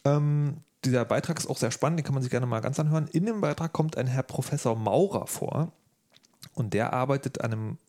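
Man, medium-pitched voice, casual podcast host, informal and slangy, thinking out loud. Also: fairly quick.

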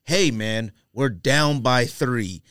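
A man's voice: mid-range voice, slow, a bit bright, clear, resonant tone, no echo, studio quality. A man co-hosting a sports podcast, arguing casually and repeating his phrases for emphasis.

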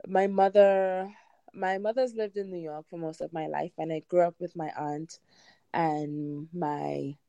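A woman in her thirties with a Trinidadian accent chats casually as a guest. Her voice sits a little low, and the sound is dry with very little room. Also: steady tone, measured pace, creaky, warm tone, dry recording, lo-fi sound. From Trinidad and Tobago. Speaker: podcast guest, home gardener. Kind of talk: casual interview chat.

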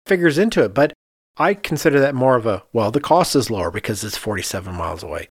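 A man's voice: mid-range voice, animated, fast talker, husky, no echo. Emphatic man talking shop, close dry sound. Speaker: architect and podcast host. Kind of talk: casual conversational discussion.

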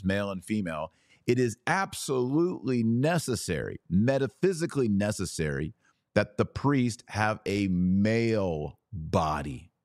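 A man hosting a podcast, delivering an explanatory religious monologue with deliberate, emphatic phrasing and stressed key words. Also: fairly low pitch, a little mellow, dry recording, studio quality. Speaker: podcast host.

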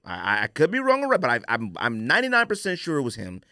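A male podcast host with a slightly high, very lively voice. He rants bluntly and emphatically, tossing out rhetorical questions as he goes. The recording is dry, with no room sound. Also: fast, no echo. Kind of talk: opinionated casual sports-and-sneaker podcast rant.

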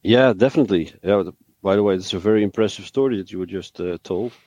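A man's voice: low-pitched, fast talker, a little mellow, no room sound. A man answering as an interview guest, explaining things in a careful, somewhat hesitant conversational way. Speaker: interview guest, ministry worker.

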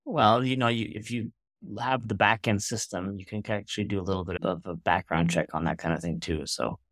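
A man's voice: on the low side, steady tone, fairly quick, fairly bright tone, dry recording, clean studio sound. A man in his thirties, a real estate investor, talking in a loose, rambling conversational way as an interview guest. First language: Hungarian. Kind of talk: casual interview chat.

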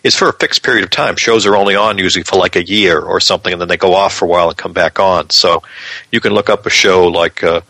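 A man's voice: low voice, steady tone, fast talker, vocal fry, sharp tone, no room sound. A man co-hosting a podcast, explaining things in a casual, conversational news chat. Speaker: podcast co-host.

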